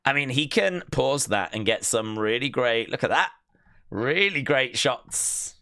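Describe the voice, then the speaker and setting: A man's voice: mid-range voice, animated, a little slow, gravelly, pushed and bright, no echo, studio quality. A man hosting a wrestling news show, chatting informally as he reacts to clips and explains them step by step. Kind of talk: casual news commentary and clip reactions.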